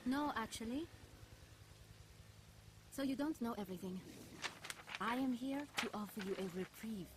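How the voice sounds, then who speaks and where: An actress playing a determined resistance agent in a video game, cool and challenging. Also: female voice, a little high, normal speed, long pauses, noisy background, lightly acted. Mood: firm, challenging. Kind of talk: video game cutscene acting. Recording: loud music behind.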